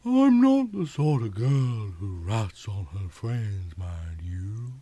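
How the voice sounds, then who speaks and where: A man's voice: on the low side, big pitch swings, slow pace, dark tone, no room sound, full character voice. A man reading a story aloud, voicing a flirtatious red-haired woman of about thirty-five with a Southern US drawl. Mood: coy. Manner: coy, drawling.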